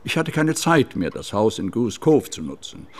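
An actor playing a wealthy estate owner in a radio drama, speaking in a formal, courteous and reserved manner. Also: male voice, lowish voice, big pitch swings, moderate pace. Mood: composed, explaining.

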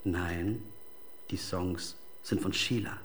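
An actor playing a smug, evasive music manager in a radio crime drama, coldly businesslike, formal and condescending. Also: male voice, low-pitched, fairly even intonation, slow pace, a bit bright. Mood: condescending, cool.

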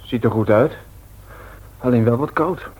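An actor in a radio play playing a laid-back young man with a laconic, low-key manner. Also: measured pace, a little mellow, slightly rough, poor audio. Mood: cautious, low-key. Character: laid-back young male visitor keen on records.

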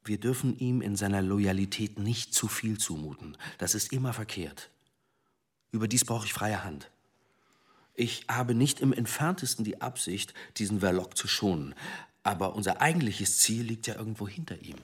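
An actor playing a measured, deferential but determined senior police commissioner in a German radio drama, husky-voiced and formally courteous. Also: male voice, fairly low pitch, animated. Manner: formal, courteous, careful phrasing.

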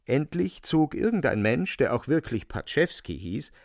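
A man's voice: medium pitch, sing-song, normal speed, vocal fry. A man narrating a literary radio drama in a dramatic storytelling style.

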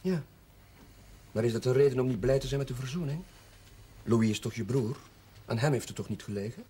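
An actor playing a kindly, persuasive village chaplain in a 1970s television drama, speaking in a measured, earnest way. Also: male voice, medium pitch, warm tone, slightly rough. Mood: probing, earnest. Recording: noisy 1970s television soundtrack.

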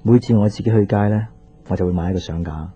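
An actor with a Hong Kong Cantonese accent playing an easygoing, teasing young working boyfriend in a radio drama. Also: male voice, sits low, quick pace, warm tone, slightly rough, muffled recording. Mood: casual, patient. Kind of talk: naturalistic radio drama dialogue.

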